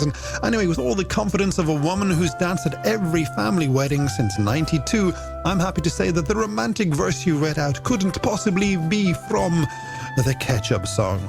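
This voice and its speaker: A man's voice: fairly high pitch, gravelly. A man presenting a late-night music radio show in playful, theatrical, florid patter from a studio. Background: music bed under the voice.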